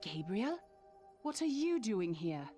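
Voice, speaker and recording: Determined, wary female monster hunter, expressive. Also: mid-range voice, takes it slowly, faint music behind. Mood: wary, suspicious. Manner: questioning, assertive. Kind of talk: dramatic video game voice acting.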